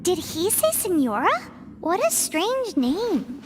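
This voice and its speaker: Squeaky, extremely high-pitched little fairy. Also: female voice, big pitch swings, slow, noisy background, exaggerated voice. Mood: curious, cheeky. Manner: squeaky, perky. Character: tiny childlike female fairy companion, cheeky and chirpy. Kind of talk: video game voice acting.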